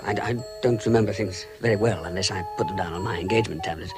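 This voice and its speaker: A man playing a timid, polite hobbit gentleman in an audio drama, stammering, fussy and apologetic. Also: on the low side, quick pace, over music, close to an everyday voice. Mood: rueful recounting. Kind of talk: audio drama acting and first-person narration.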